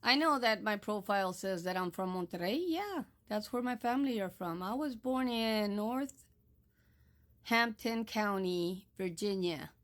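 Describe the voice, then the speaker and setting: Slow, firm older woman from Texas. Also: mid-range voice, fairly bright tone. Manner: firm, admonishing, deliberate. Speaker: social media creator. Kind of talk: direct-to-camera monologue.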